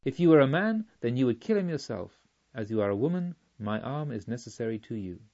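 Audiobook robber chief, read by a man: quiet menace, remorse, formal measured delivery, slightly muffled sound. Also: mid-range voice, big pitch swings. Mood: persuasive. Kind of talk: audiobook storytelling.

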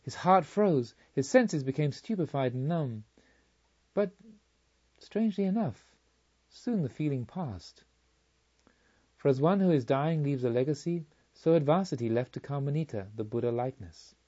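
A man reading scripted literary narration as an audiobook reader, in a solemn, measured manner. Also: a little high, animated, dark tone, dull audio.

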